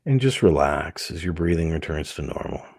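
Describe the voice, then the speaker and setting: Low, husky man guiding a meditation. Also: expressive, no room sound, clean studio sound. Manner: soothing, gentle, permissive, with many pauses between short phrases. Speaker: hypnotist leading a guided meditation. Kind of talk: guided meditation, hypnosis.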